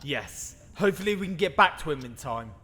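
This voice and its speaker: Guy doing a hurt young anime fighter, tense husky voice, urgent, big echoey reverb. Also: fairly high pitch, animated, fairly quick, sharp tone, full character voice. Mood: desperate. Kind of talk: fan-made anime roleplay voice acting.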